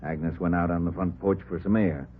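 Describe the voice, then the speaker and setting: A man acting a surly, evasive middle-aged husband with an American accent, gruff and curt, in a 1950s radio drama. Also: low voice, steady tone, normal speed, narrow, tinny audio, lightly acted. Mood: guarded. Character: surly, evasive nursery owner, defensive husband and stepfather. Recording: band-limited old radio recording, background noise.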